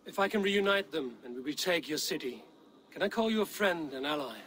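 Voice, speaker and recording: A man's voice: on the high side, animated, brisk, fairly bright tone, a little hiss or hum, poor audio. A man playing a steadfast Viking raider, terse and direct, in a dramatic video game. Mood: inquiring, steady.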